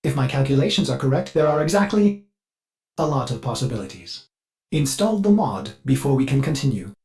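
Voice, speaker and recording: A ship's computer servant from a video game, eager and fussy in its courtesy. The delivery is precise and theatrical, swooping widely in pitch with a manic edge. Faint music sits behind the voice. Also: male voice, big pitch swings, a little fast, darkish tone. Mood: courteous, helpful. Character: ship artificial intelligence servant, fussy, eager, excitable, with violent outbursts. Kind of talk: scripted video game character dialogue.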